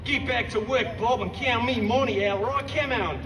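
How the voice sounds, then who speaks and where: A man voicing a miserly, grumpy old Australian man with a gruff put-on accent. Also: very high-pitched, moderate pace, voice pushed up. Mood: grumbling. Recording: roomy outdoor stage sound from far off.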